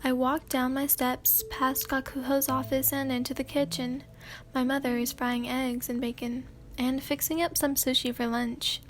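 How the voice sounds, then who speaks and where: High-voiced woman doing sleepy asmr story reading, soft and kinda hesitant, pretty monotone, music behind. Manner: soft and hesitant, many fillers and throat clears. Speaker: ASMR channel host reading fan fiction.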